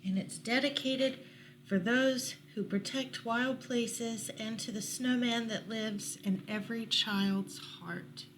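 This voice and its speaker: A woman's voice: fairly high pitch, slow pace, a bit bright, rough recording. A woman reading a picture book aloud at storytime, with small asides to listeners. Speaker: library storytime reader. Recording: noticeable background noise.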